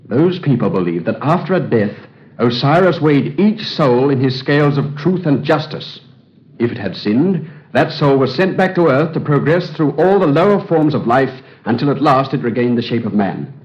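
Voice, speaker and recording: A man's voice: mid-range voice, big pitch swings, normal speed, darkish tone, natural voice. Through a muffled old radio recording comes a man acting a troubled English Egyptologist. He is earnest and formal, his agonized delivery rising and falling dramatically, in the manner of vintage radio drama. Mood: pleading, fervent. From England. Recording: dull, band-limited old radio recording.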